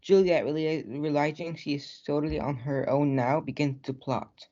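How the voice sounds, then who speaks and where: A young man narrates a stage play from a script. His voice sits a little high, and the recording is somewhat dull. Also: fairly even intonation, normal speed, warm tone.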